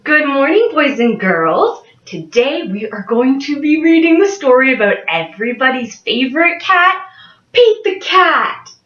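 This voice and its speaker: A young adult woman reading a picture book aloud to children in an expressive way. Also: sits high, a little slow, reverberant, lo-fi sound. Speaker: early childhood education student reading to children. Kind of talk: picture-book read-aloud with sing-along.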